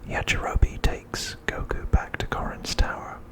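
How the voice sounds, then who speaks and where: Slowly whispering man, ASMR narrator. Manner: soft, unhurried, deliberate phrasing with pauses. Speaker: host of an ASMR channel.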